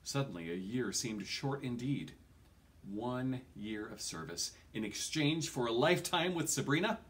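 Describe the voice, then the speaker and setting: A man's voice: mid-range voice, animated, unhurried. A man in his forties reading a fantasy novel aloud in a theatrical storytelling manner, with the odd small stumble. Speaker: reader. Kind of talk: expressive audiobook-style reading of a fantasy novel. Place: small home room, indoors.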